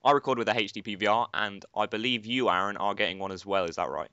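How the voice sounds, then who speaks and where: A man's voice: fairly low pitch, fast talker, bright, forceful tone, clean tone, dry recording, lo-fi sound. A teenage boy hosting a casual gaming commentary, chatty and rambling with lots of filler phrases. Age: teens. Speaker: channel host, gamer.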